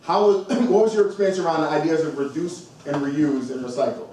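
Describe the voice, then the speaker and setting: A man's voice: fairly high pitch, fairly quick, mellow. A man moderating a panel discussion, emphatic and encouraging as he draws out each speaker. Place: event hall with audience. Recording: echoey hall from far off, noisy.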